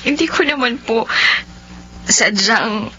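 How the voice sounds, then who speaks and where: An actress playing a gentle young niece who has been wronged, pleading and tearful. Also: female voice, a little high, slow, soft background music. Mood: hurt, quiet. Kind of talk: radio-drama acting. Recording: muffled lo-fi recording, faint hum.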